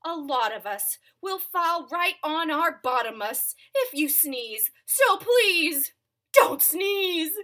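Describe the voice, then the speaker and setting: A woman's voice: high-pitched, expressive, takes it slowly, hardly any pauses, sharp tone, pitched above the performer's own voice, exaggerated voice. A woman voicing a big, worried storybook hippopotamus in an emphatic, pleading manner.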